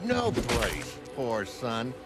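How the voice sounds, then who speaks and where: A man's voice: mid-range voice, wildly swooping pitch, very slow, heavily acted. A man voicing a cruel, jeering older boy who bullies others in a motion comic. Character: mocking, cruel older bully boy taunting. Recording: music behind the voice.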